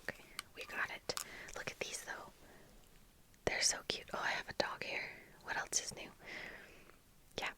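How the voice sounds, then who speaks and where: Young female ASMR creator, very bright breathy whisper, casual rambling chat at an unhurried pace, close-miked. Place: small indoor room with bookshelves. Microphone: close fluffy-covered ASMR microphone, earphones worn.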